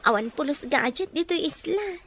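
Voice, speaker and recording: A woman's voice: high voice, animated, moderate pace. A woman playing a questioning female character in a scripted melodrama, recorded with some background noise. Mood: complaining. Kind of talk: scripted Ilocano audio drama dialogue.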